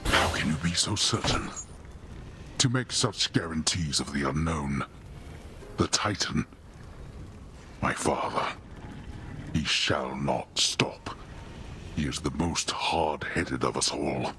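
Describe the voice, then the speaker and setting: An actor playing a stern, weary god of the dead and imprisoned father in a video game, speaking gravely and with measure. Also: rumbling low, slow, long pauses, pushed and bright, a little hiss or hum, exaggerated voice.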